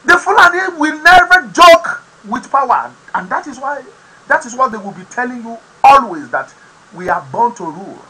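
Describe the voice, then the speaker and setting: A man with a Nigerian accent whose first language is Yoruba hosts an online program, giving impassioned political commentary with sudden loud, emphatic bursts. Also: very high voice, animated, moderate pace, husky, poor audio. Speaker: host of an online talk program.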